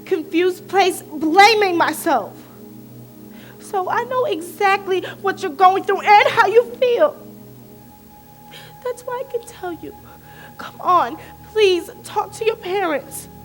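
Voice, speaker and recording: An earnest, protective teenage girl acted by a woman with a very high voice and slow, emphatic delivery. She implores like a devoted best friend in a stage drama, with faint music behind her. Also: lively intonation, exaggerated voice. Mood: earnest, urging. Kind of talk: emotional stage play acting.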